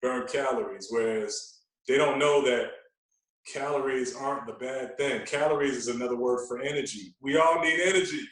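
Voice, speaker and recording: A man's voice: mid-range voice, moderate pace, fairly bright tone, distant mic. A man talking as a guest fitness coach on a podcast, explaining at length with emphatic rhetorical check-ins. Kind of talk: podcast conversation. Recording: very echoey room.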